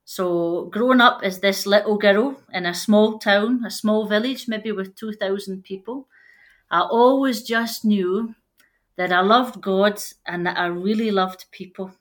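A woman's voice: medium pitch, measured pace. Scottish woman missionary, sincere and plain-spoken, for an interview. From Scotland. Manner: earnest, plain-spoken faith testimony. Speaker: missionary guest. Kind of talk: interview conversation.

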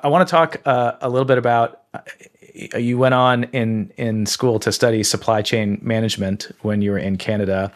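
A man interviewing a guest in a casual conversation, relaxed and full of "uh" and "um" fillers. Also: fairly low pitch. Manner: relaxed, filler-heavy questioning.

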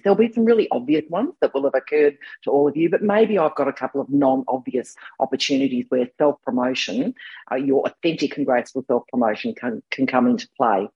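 An Australian woman in her sixties with a husky voice, hoarse from a cold, presenting a chatty online masterclass with rambling restarts over a video call. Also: low voice, no echo, lo-fi sound. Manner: warm, rambling, with frequent restarts.

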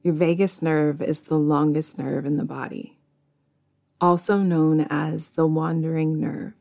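A woman leading a guided meditation in a soothing, measured way, leaving long pauses between phrases. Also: sits low, fairly even intonation, gravelly, dark tone. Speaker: meditation guide, podcast host.

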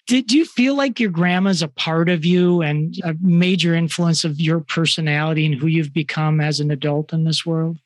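A woman's voice: fairly low pitch, dry recording. A woman hosting an interview in an affirming, conversational way. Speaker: podcast host, interviewer.

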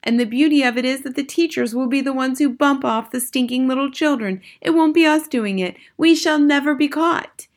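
A woman voicing excitable, fawning, bloodthirsty witches in a children's audiobook, crying out in shrill, gushing exclamations. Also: normal speed, hardly any pauses. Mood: gushing, thrilled. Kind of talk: audiobook children's story characters.

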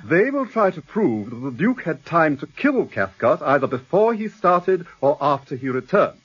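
An authoritative English barrister, formal and shrewd, with an upper-class accent. His husky voice moves expressively in pitch on a slightly dull radio recording. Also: sing-song, normal speed. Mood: grave. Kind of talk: BBC radio drama acting.

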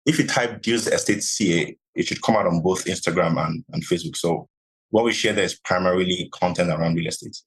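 Man, from nigeria, podcast guest, very low voice, husky, measured, filler-heavy. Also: low-quality recording. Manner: measured, many "you know" fillers. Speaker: podcast guest, real estate investor. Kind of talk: conversational interview answers.

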